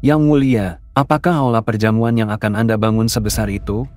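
Pria memerankan penasihat raja yang penakut, suara rendah. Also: male voice, on the low side, brisk, faint music behind, close to an everyday voice. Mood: deferential. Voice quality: deferential, flattering. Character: cautious fawning male royal advisor. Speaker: royal advisor. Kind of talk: dubbed cartoon voice acting.

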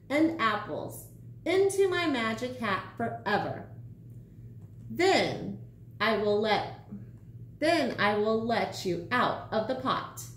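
A woman's voice: on the high side, echoey room, a little hiss or hum. A woman voicing a chirpy, instructive male magic gnome from a children's storybook. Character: male magic gnome, chirpy, matter-of-fact, a little sly.

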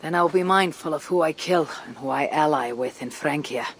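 Stoic Viking clan chief, a woman; husky and low, few words, commanding, for game cutscenes. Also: moderate pace, slight background noise. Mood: resolute. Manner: terse, commanding. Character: stoic, hardened female Viking clan leader. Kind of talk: video game cutscene acting.

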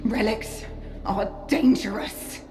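A woman playing a stubborn, frightened smuggler held captive in a video game, halting and strained. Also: medium pitch, steady tone, reverberant, over music, lightly acted. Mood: frightened, defiant.

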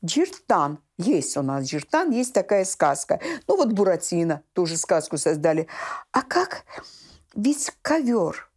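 A woman's voice: fairly low pitch, very animated, measured pace, darkish tone. A woman in her sixties from Azerbaijan, a children's writer talking expressively and emphatically as an interview guest, often repeating phrases for effect. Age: 60s. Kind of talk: animated conversational storytelling in a TV interview. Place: small indoor room set with shelves, books and textiles.

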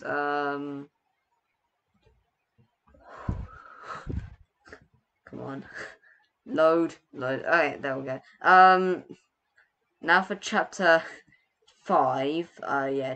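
A man's voice: fairly high pitch, sharp tone. A young man giving casual, rambling game commentary in a hesitant, self-deprecating way, sometimes reading captions aloud. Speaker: gaming video host.

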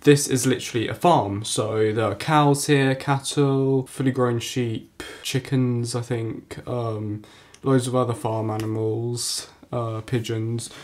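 A teenage boy, hoarse and stuffy-nosed from a cold, chatting casually to camera in a small bedroom. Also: mid-range voice, fairly even intonation, takes it slowly, darkish tone. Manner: rambling, chatty, lots of fillers. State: hoarse from a cold, blocked nose. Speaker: vlogger. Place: small holiday cottage bedroom, indoors.

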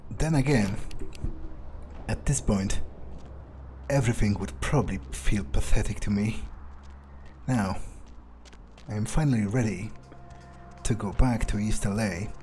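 A man's voice: on the low side, unhurried, pauses often, mellow, a little hiss or hum. A man playing a game in character, musing and muttering his thoughts aloud. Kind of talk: in-character gameplay commentary, thinking aloud. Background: faint game music.

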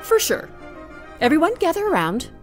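An actress playing an encouraging, fussing drama teacher in a high school radio play. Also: female voice, wildly swooping pitch, normal speed, soft background music. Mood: upbeat.

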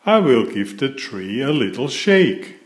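A man's voice: mid-range voice, very animated, unhurried, roomy sound. A man voicing an eager young boy pony from a storybook in a simple, emphatic way. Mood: confident.

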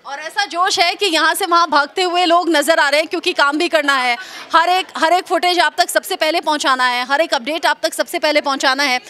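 Upbeat high-voiced newsroom reporter woman. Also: a little fast, few pauses. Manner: lively, chatty, upbeat questioning. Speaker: news reporter. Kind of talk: live newsroom reporting and vox pops. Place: busy indoor newsroom. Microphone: handheld mic.

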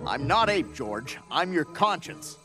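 An actor voicing a haughty, self-important talking ape in a cartoon, pompous and exasperated. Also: male voice, fairly high pitch, very animated, unhurried, music in the background. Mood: stern. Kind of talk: animated cartoon acting.

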